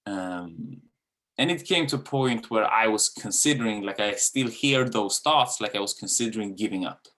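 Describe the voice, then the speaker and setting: Candid Swedish-accented man, low voice. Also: expressive, normal speed, a bit bright. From Sweden. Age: 30s. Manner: candid, casual, with frequent fillers and swearing. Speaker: dance coach. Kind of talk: webinar talk.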